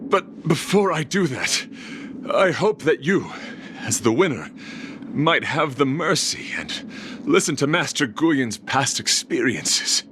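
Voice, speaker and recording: A florid, gallant knight, animated delivery. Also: male voice, mid-range voice, background noise. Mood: gracious, resigned. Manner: formal, florid, earnest. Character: gallant, courteous knight devoted to beauty and chivalry. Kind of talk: video game voice acting, theatrical dialogue.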